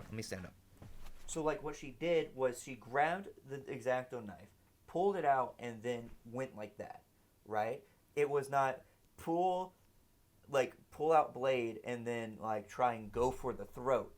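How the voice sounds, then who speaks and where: A man's voice: medium pitch, fairly bright tone, no echo. Man giving off-the-cuff commentary, close dry sound. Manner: ends statements with "right?" as a check; thinks aloud, swears casually. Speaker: reaction video host. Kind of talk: casual off-the-cuff reaction commentary and analysis.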